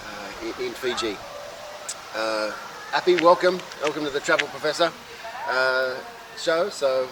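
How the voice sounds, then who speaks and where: A man hosting an interview in an informal, colloquial way, introducing and asking questions. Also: mid-range voice. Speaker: show host and interviewer. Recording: distant mic with clear urban outdoor noise.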